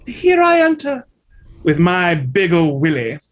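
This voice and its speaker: A man's voice: high-pitched, wildly swooping pitch, measured pace, full character voice. An actor playing a vain, flamboyant male ballet dancer telling a gossipy story at a script table read.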